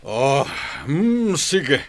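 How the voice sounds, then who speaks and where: A man's voice: on the high side, very animated, drawn-out words, exaggerated voice. A man with an Ilocano accent playing a questioning, reluctantly indulgent father in a radio drama, hesitant in his replies. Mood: doubtful, resigned.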